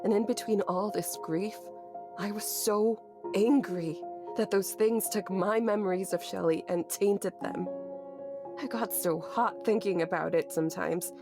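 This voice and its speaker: A woman's voice: medium pitch, a little slow, natural voice. An actress playing a grieving, anxious young woman, narrating a horror audio drama in an introspective, bitter, sweary manner. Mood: bitter grief. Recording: music behind the voice. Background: music.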